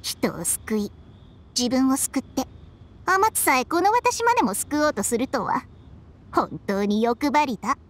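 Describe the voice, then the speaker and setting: High, wry ancient snake spirit. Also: female voice, big pitch swings, a little slow. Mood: wry, exasperated. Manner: blunt, knowing, familiar speech. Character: wry, worldly female snake spirit, ancient contract keeper. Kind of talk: scripted game dialogue.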